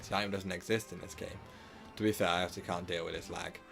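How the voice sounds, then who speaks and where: A deep-voiced man talks through a live gameplay stream, a little hoarse from a sore throat. He rambles loosely, restarting sentences and leaning on filler words. Faint game music plays behind him. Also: level tone, quick pace, fairly bright tone. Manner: rambling, self-correcting, lots of filler words. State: sore throat, slightly losing voice. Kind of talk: casual live gameplay commentary.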